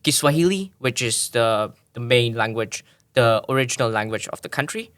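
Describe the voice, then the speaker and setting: A man's voice: mid-range voice, lively intonation, moderate pace, a bit bright. A man from Tanzania, a podcast guest, explaining at length in a thoughtful way with frequent restarts. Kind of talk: explanatory conversational interview.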